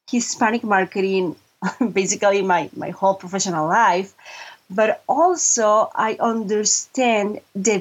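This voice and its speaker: A woman's voice: medium pitch, breathy edge. A middle-aged woman from Mexico, a Spanish speaker, earnestly answering interview questions with frequent restarts. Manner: earnest, restarts often, halting then quick. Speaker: guest, voiceover artist and marketing consultant. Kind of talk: interview conversation.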